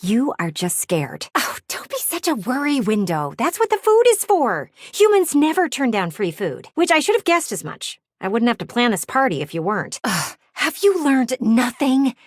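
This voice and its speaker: An actress voicing a pushy, blunt talking window in a video game, with bossy, dramatic emphasis. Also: female voice, a little high, big pitch swings, moderate pace, hardly any pauses, no room sound, clean studio sound. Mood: condescending, insistent.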